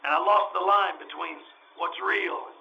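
A man playing a desperate, dreamy former circus publicity man in an old-time radio drama, halting and stammering but emphatic. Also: high-pitched, fairly even intonation, gravelly, heavily acted. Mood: wistful, fervent. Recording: dull lo-fi audio.

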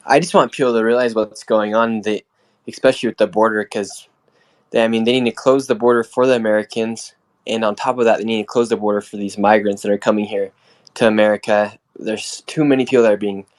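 A man's voice: on the low side, steady tone, quick pace. Young man guest, plain and earnest. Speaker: guest, independent reporter. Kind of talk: live interview.

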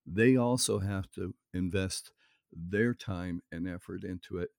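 Deep-voiced guy hosting an interview, slow and deliberate, dry studio sound. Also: creaky, darkish tone, no room sound. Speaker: interview host.

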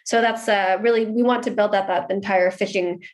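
A woman's voice: medium pitch, fairly even intonation, normal speed. A woman from Seattle with an American accent, a guest giving casual, explanatory interview answers with occasional restarts. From Seattle, USA. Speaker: guest, marketing executive.

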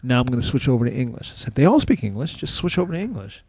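A man with a gravelly, mid-pitched voice tells a long story in a loose, easy way. His voice sits dry and close to the mic, with no room sound. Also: sing-song, racing, a little mellow, no room sound. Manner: gravelly, drawling anecdotes with asides. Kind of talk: casual chat.

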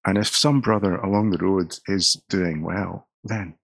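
Deep gravelly Scottish pastor. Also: male voice, steady tone, unhurried, no echo. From Scotland. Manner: earnest, repeats words as he starts sentences. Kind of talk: conversational interview.

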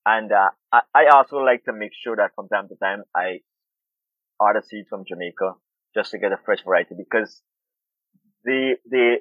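A man's voice: lowish voice, moderate pace, dry recording. Middle-aged guy from guyana, thoughtful, repeats himself a lot, dry tinny phone sound. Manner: thoughtful, repeats words while searching. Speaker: urban farmer and food activist. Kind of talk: interview guest.

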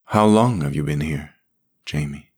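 A man voicing a calm, firm grown woman in an audiobook, in his own deep, steady voice and a gently insistent tone. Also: a little slow, slightly rough, full character voice. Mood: probing. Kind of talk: audiobook character voice.

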